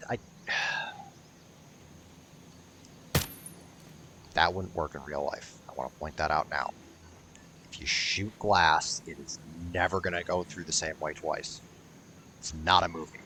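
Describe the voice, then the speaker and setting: A low-voiced man talks casually through a video game playthrough, with plenty of fry in his voice. He is sardonic and grumbly and swears freely. There is clear noise behind him. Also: pushed and bright. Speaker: gaming video host. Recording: noticeable background noise.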